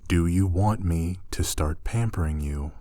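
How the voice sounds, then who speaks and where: A male actor plays an affectionate young-sounding roommate in an intimate ASMR roleplay. His voice is low and unhurried, tender with playful teasing. It is recorded very dry and close. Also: dark tone, no room sound. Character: affectionate, teasing male roommate gently pampering a shy catgirl.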